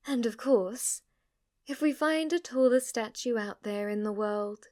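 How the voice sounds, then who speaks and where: A woman's voice: fairly high pitch, measured pace, darkish tone, lightly acted. A woman playing a devoted, submissive demon maid in an ASMR roleplay, with a formal, deferential and adoring manner. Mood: deferential, composed. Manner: formal, deferential, breathless adoration, sometimes whispering. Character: devoted, submissive, obsessively worshipful female demon maid servant.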